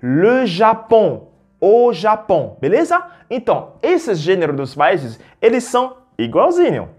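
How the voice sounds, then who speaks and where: Husky, lively male teacher. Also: high-pitched, measured pace. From a French-speaking country. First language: French. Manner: explanatory, keeps checking in with "beleza?" and rephrasing with "ou seja". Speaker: French teacher. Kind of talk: online language lesson.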